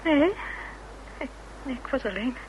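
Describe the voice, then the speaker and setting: An actress playing a distraught, evasive woman under questioning in a radio play, halting and flustered. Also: sits high, animated, a little mellow. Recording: dull, noisy old radio recording.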